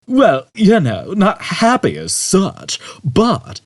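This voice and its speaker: A man's voice: high-pitched, huge pitch swings, slow pace, dry recording, studio quality, voice pushed up, heavily acted. A man voicing a conceited, irritable male space fugitive in an audiobook, with a complaining, emphatic manner. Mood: evasive.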